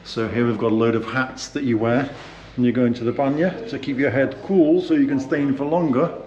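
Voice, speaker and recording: A man's voice: medium pitch, fairly even intonation, fairly quick, lo-fi sound, crowd noise. A man with a British accent hosting a casual vlog, chatting loosely with plenty of fillers as he walks through a hotel and sauna, recorded on a handheld camera. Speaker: vlog host. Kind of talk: casual walking-tour vlog. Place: indoors, hotel and sauna cellar.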